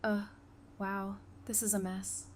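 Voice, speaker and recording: A woman's voice: mid-range voice, level tone, takes it slowly, natural voice. An actress playing a candid, caring, perceptive woman who talks casually and frankly in a fan audio drama. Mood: concerned.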